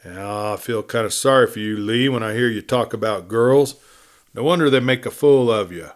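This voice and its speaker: A man's voice: fairly low pitch, moderate pace, lightly acted. A man acting a folksy, preachy tough cowboy. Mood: pitying, preachy. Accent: American Western. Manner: drawling, folksy lecturing.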